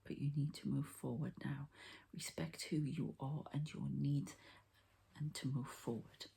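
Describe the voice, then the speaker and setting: A woman speaks low and mellow in an ASMR healing session, slipping in and out of a breathy whisper. Her voice is very creaky, and she murmurs to the listener without hurry as she reads the cards. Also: steady tone. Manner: hushed, unhurried, murmuring to the listener. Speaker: ASMR energy healer and card reader. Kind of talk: whispered ASMR card reading.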